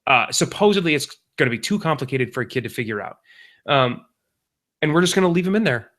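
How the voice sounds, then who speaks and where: A man's voice: medium pitch, a bit bright. A young adult man co-hosting a podcast, telling rambling stories in casual chat and often correcting himself as he goes. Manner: rambling, self-correcting parent anecdotes. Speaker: podcast co-host.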